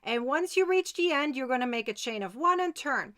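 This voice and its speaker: A woman's voice: high voice, lively intonation, fairly quick, a bit bright. A woman hosting a live step-by-step crochet tutorial, chatty and insistent, often checking that listeners follow. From Germany.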